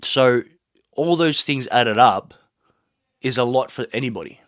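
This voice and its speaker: A man's voice: medium pitch, a little slow, fairly bright tone, no echo. A man hosting his own podcast in a small home studio, talking earnestly and motivationally while sick with a sore throat and a blocked nose. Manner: earnest, motivational, rambling asides. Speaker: podcast host. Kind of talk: casual solo podcast monologue.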